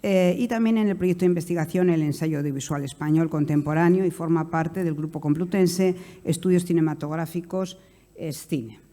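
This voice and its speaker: A woman moderating a conference panel and introducing a speaker in a halting, hesitant way. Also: low voice, fairly even intonation, mellow.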